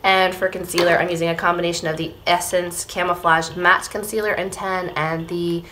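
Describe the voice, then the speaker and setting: A woman in her thirties, slightly hoarse, giving a casual beauty product review to camera at home. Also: fairly low pitch, flat delivery, a little fast, background noise. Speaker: beauty vlogger. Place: small room at home, indoors.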